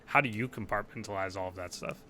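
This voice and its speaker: A man's voice: on the low side, quick pace, sharp tone, slight background noise. A young man co-hosting a podcast over a video call, asking long, rambling questions with many restarts. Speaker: podcast co-host. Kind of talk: casual video call interview. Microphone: headset mic on video call.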